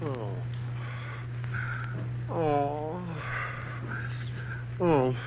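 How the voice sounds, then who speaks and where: Casting: hungover wisecracking charmer, higher-voiced, hesitant and pleading, 1940s radio drama on a tinny recording. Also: male voice, drawn-out words, heavy background noise. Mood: dazed. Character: groggy, hungover, flirtatious wisecracking man.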